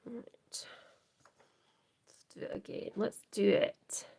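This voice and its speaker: A Scottish woman hosting a live crafting demo, chatting softly to viewers and often trailing into whispers as she works. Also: takes it slowly. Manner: soft, often trailing into whispers while working. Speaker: craft livestream host.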